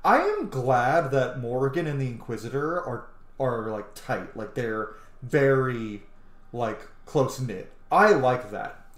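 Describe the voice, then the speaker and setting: A man in his thirties doing excitable, casual game commentary and reading game text aloud, swearing freely. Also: medium pitch, takes it slowly. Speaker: streamer playing a video game. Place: small indoor room. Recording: roomy, echoey home recording.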